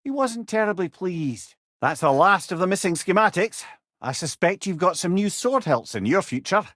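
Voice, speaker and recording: An actor playing a wry, clever older male storyteller companion in a video game, with a Scottish accent and a droll, expressive manner. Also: sits high, moderate pace, dry recording, clean studio sound. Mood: droll, curious. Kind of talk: video game character dialogue.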